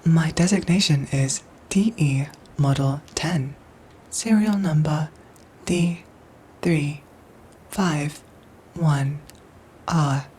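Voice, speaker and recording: Low, whispery female android soldier, acted by a woman. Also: a little hiss or hum. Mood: formal, matter-of-fact. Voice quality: soft, breathy, whispery. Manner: measured, formal, robotic phrasing with careful pauses. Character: calm, protective female android soldier, formal and gentle. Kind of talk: ASMR audio roleplay acting.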